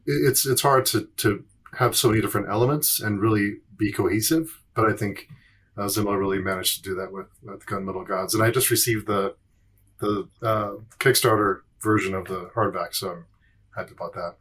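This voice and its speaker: A man in a casual podcast chat, speaking haltingly and repeating words as he thinks. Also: fairly low pitch, fairly even intonation, fairly bright tone, breathy edge. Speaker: podcast panellist.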